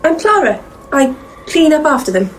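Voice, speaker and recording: Woman playing a high-voiced, loyal assistant. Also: big pitch swings, moderate pace, slight background noise, rough recording. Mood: confident. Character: loyal, chatty female assistant to ghost hunters. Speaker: actor in an audio comedy drama. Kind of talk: audio play dialogue.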